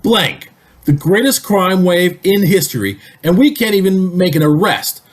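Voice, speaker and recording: An actor playing a blustering, exasperated police sergeant with a husky voice in an old-time radio drama. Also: male voice, high-pitched, expressive, unhurried. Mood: angry, exasperated.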